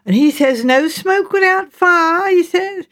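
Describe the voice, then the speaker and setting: Woman acting a high-voiced, indignant gossiping village mother. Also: measured pace, fairly bright tone. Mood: agitated, gossipy. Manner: rambling, gossipy, breathless run-on sentences. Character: garrulous, indignant working-class village mother, deferential.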